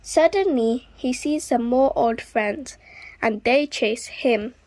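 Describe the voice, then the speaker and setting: A woman reading a children's story aloud expressively, with deliberate, dramatic emphasis on repeated words. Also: fairly high pitch, a little mellow. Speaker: children's book reader.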